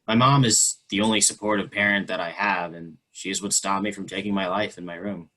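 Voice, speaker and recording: A performer reading a teenager's part in a staged play reading over a video call, earnest and quietly emotional. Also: male voice, sits low, steady tone, brisk, low-quality recording. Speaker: actor reading a teen character in a play.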